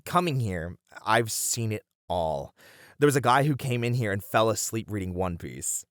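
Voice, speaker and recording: A man's voice: fairly low pitch, animated, moderate pace, no echo, studio quality, lightly acted. A man playing a friendly young bunny boy, a chatty and welcoming manga cafe regular, in a casual ASMR roleplay. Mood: amused. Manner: chatty, easygoing, welcoming, with casual slangy hesitations.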